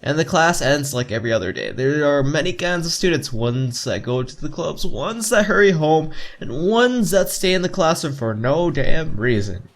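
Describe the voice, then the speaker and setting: A man's voice: fairly high pitch, sing-song, moderate pace, hardly any pauses. A man reading visual novel narration aloud with playful, casual asides. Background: game music.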